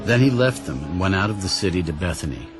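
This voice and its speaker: A man narrating a dramatized Bible story in a measured, solemn storytelling manner. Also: low voice, sing-song. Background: background music.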